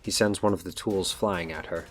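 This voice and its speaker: A man with a very low voice narrates a superhero audio drama. He reads scripted action scenes over faint music. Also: steady tone, moderate pace.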